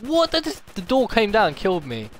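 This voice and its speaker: Young British guy for a let's-play, excitable and giggly, noisy cheap mic. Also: high voice, a little fast. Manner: laughs often, chatty and excitable. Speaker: gaming channel host. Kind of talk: casual let's-play commentary. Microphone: cheap home microphone. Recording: noisy home recording with game sounds.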